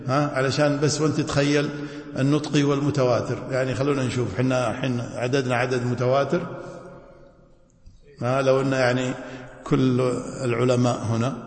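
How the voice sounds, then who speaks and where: A man's voice: medium pitch, little pitch movement, fairly quick. A man teaching jurisprudence in a mosque, often stopping to quiz his listeners with prompting questions. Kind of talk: classroom lecture. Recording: echoey mosque hall.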